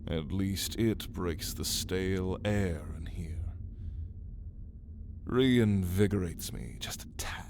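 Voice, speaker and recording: Ancient vampire, male actor, arrogant and amused. Deep, extremely unhurried, taunting ASMR delivery over clear background noise. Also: a little mellow. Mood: predatory, savoring. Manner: slow, theatrical, taunting, with whispered drawn-out words. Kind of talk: ASMR roleplay, addressing the listener.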